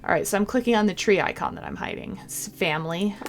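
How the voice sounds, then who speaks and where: A woman's voice: medium pitch, fast. Woman reading a game aloud over music. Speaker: game streamer. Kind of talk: let's-play reading of a visual novel aloud with casual commentary.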